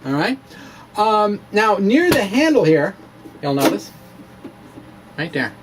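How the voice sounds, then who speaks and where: A man presents a homespun science vlog in a theatrical, emphatic way, his pitch swinging widely. He takes his time, slipping between dramatic reading and playful show-and-tell. Some background noise sits under his voice. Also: big pitch swings, slow. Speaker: science vlogger presenting his own blog.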